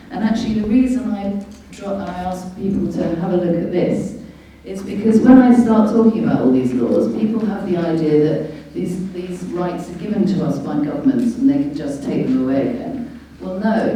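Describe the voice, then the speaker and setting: Echoey, low, very mellow woman speaker. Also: a little hiss or hum. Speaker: lawyer and guest speaker at a public debate. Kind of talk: impassioned public speech explaining law. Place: indoor public meeting venue. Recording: echoey room, distant microphone.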